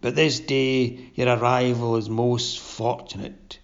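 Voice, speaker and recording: A sinister undead sea captain, as a storyteller puts him on, lower and rougher than his own voice, drawing his words out with sly, pompous grandeur. The gravel in his tone sits under a dull, lo-fi recording. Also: little pitch movement. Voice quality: gravelly, low and drawn-out.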